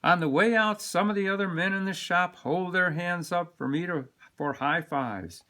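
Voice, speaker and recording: A man reading a children's picture book aloud in an expressive, deliberate storytelling manner. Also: sits high. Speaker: storybook reader.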